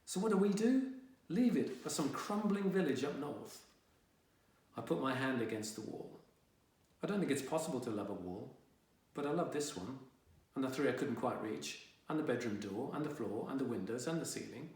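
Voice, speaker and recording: A man's voice: mid-range voice, expressive, moderate pace, a little mellow, recorded from a distance, lightly acted. Sullen teen boy narrator played by a man, wry and indignant, young adult audiobook, very echoey room. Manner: wry, dramatic, exasperated teenage grumbling. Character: sullen, indignant fifteen-year-old boy telling his story. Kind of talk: first-person young adult audiobook reading.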